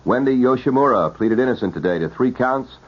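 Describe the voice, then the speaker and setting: A dull, band-limited old radio broadcast carries a male news anchor from the 1970s. His husky, mid-pitched voice reads scripted bulletins in a formal, measured announcer style. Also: animated.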